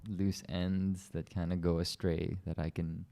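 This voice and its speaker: A man's voice: sits low, little pitch movement, measured pace, vocal fry, dark tone. A young man from the Philippines co-hosting a casual couple's podcast, easygoing and joking, with loose run-on thoughts. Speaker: podcast co-host.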